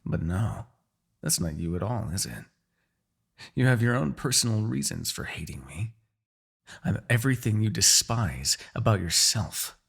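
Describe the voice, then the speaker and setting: Demon king, played by a man, centuries old, for fantasy audio drama. Raspy, widely swinging delivery; proud, bitter, sardonic menace. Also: fairly low pitch, expressive, unhurried, fairly bright tone, heavily acted. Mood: brooding, taunting. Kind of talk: fantasy ASMR audio roleplay acting.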